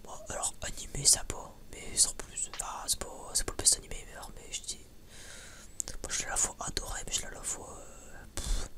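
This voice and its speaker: A man whispering breathily in a casual, unscripted ASMR style, rambling hesitantly with many false starts. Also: normal speed. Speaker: ASMR video creator.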